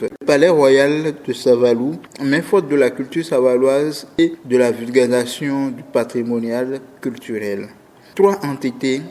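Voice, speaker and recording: A man's voice: mid-range voice, darkish tone. A man with a Beninese French accent reading a scripted news report as its narrator. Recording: long hall-like reverb.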